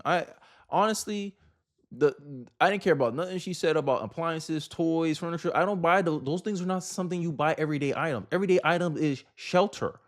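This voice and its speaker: Higher-pitched man giving casual opinions. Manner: informal, repeats and rephrases points for emphasis. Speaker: host of a political commentary show.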